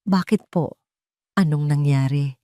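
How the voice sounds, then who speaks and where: A woman's voice: deep voice, a little slow, warm tone, dry recording, studio quality. A woman voicing a commanding, protective male CEO in an audio story, speaking in a clipped, urgent, businesslike way. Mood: alarmed.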